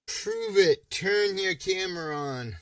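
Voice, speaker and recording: A man's voice: sits high, animated, fairly bright tone, dry recording, distant mic. A man who is a guest on a livestream, chatting casually and teasing with profanity. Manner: teasing, profane, slow-spoken. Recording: dry voice, heard from off the mic.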